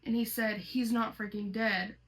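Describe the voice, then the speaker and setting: A woman's voice: on the high side, fairly even intonation, moderate pace, poor audio. A woman reading a horror story aloud as host, slipping between dramatic reading and casual commentary.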